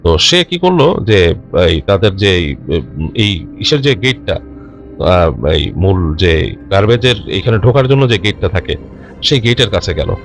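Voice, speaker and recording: A man with a Bangladeshi accent tells a ghost story off the cuff in a conversational, halting way, repeating himself and mixing in English words. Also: fairly low pitch, lively intonation. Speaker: horror storyteller. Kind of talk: unscripted spoken ghost-story narration. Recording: music under the voice. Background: background music.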